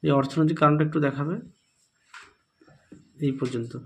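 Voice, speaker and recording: A Bengali-accented male teacher with a husky, slightly raised voice. He talks straight to his students, working through exam answers one by one and repeating each instruction so nobody misses it. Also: on the high side, fairly even intonation, normal speed, darkish tone. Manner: repetitive, instructive, addresses students directly.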